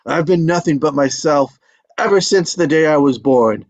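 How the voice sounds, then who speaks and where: A man's voice: on the high side, normal speed, muffled recording, natural voice. A man playing an aging father, a stubborn, folksy teller of tall tales, in a script table read. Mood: hurt, defiant. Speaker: actor in a screenplay table read. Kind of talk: live script table read, dramatic dialogue.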